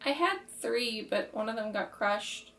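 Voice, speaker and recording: A woman's voice: on the high side, lively intonation, unhurried, fairly bright tone. A woman speaking casually as a player in a tabletop game, improvising her character's words and actions. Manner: informal, conversational.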